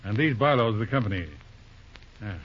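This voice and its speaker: An actor in an old radio drama playing a shrewd, genial older judge who chuckles, hums and drawls thoughtfully. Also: male voice, fairly low pitch, a little fast, close to an everyday voice. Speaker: lawyer uncle. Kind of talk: 1930s radio drama acting. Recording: dull lo-fi old radio recording, faint hiss.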